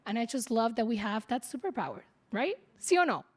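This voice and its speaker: A woman with a fairly high voice gives an acceptance speech, lively and animated. She drops Spanish words into her sentences and keeps checking in with the audience. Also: fairly quick. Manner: warm and lively, with Spanish phrases and laughs. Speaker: journalist, award honoree.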